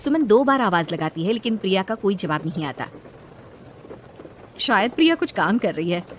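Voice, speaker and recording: A woman's voice: mid-range voice, very animated, normal speed, a little hiss or hum. A woman reading scripted fairy tale narration as the storyteller.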